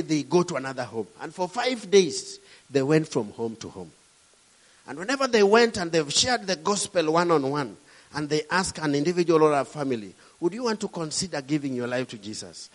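A middle-aged man from Kenya with a Kenyan accent, preaching a sermon with emphatic, rising and falling delivery. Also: a little high.

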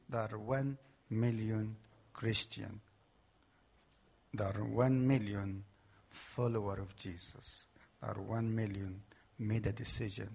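A man's voice: on the low side, vocal fry, a little mellow, husky, lo-fi sound. A man with an Egyptian accent giving an earnest, emphatic church testimony in slightly broken English. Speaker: guest speaker. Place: church hall.

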